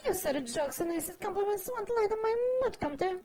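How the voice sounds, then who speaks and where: A man's voice: extremely high pitch, lively intonation, normal speed, voice pushed up. A man voicing an indignant, haughty noble Baroness in a theatrical period game fandub. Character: indignant high-pitched noble Baroness, a gambling loser. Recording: music behind the voice.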